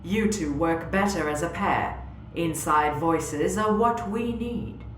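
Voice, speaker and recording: A woman playing a grave military commander in a sci-fi audio drama, measured, deliberate and authoritative. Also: fairly low pitch, slight background noise. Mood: controlled, briefing. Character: grave female military commander sharing secret doubts. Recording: roomy echo under prominent music.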